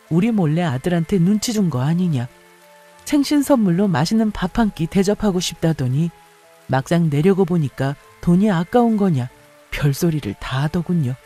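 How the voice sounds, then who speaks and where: A woman's voice: lowish voice, mellow. A woman reading a scripted first-person story as a narrator.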